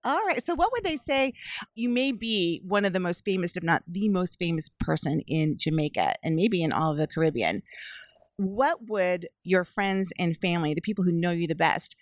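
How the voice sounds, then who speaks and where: A woman's voice: on the low side, expressive, moderate pace, no room sound, clean studio sound. A woman hosting a casual interview, asking curious, upbeat questions. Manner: curious, upbeat, laughs often. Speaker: podcast host.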